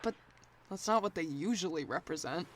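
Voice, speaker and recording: A woman playing a restless, irritable software engineer in an audio drama, talking in a dry, pedantic, bickering way. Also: fairly low pitch, lively intonation, normal speed. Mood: skeptical.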